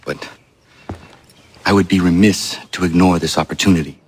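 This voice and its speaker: A man's voice: low-pitched, fairly even intonation, a little mellow, slightly rough. An actor playing an earnest, proud young male Assassin who speaks formally and with measure in a game cutscene. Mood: earnest, hopeful. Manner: formal, measured, avoids contractions. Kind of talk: video game cutscene acting.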